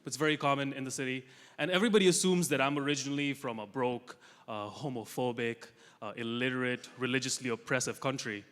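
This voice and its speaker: A young man from Russia performing a stand-up comedy set, delivering dry, self-mocking punchlines with quick asides. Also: medium pitch, steady tone, a bit bright. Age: young adult. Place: large comedy festival hall with audience. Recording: live hall recording with long reverb.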